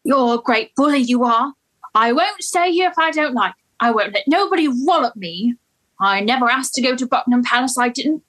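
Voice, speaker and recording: A woman's voice: sits high, animated, a bit bright, poor audio. A woman doing a defiant Cockney flower girl. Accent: put-on Cockney. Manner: indignant, protesting, rapid outbursts.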